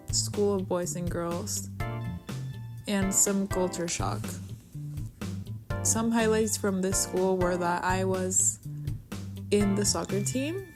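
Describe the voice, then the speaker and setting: Music plays clearly behind a young woman's voice. She grew up in Venezuela with Spanish as her first language. She narrates in a personal, cheerful vlog style, at a middling pitch for a woman. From Caracas, Venezuela. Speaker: vlogger. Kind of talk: personal narration over a drawing video.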